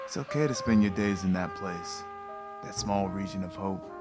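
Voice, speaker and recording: Brief: male actor as aging prizefighter, gentle and earnest, lowish voice, audio drama with score. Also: moderate pace, lightly acted. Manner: soft-spoken, earnest, reflective. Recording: music playing under the voice.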